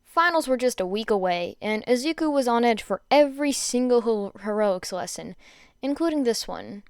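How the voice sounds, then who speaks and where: Clear-voiced woman reading fan fiction. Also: a little high, animated, normal speed, no echo, clean studio sound. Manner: stumbles over words and rereads phrases. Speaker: podfic reader. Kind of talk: amateur fan fiction narration read aloud.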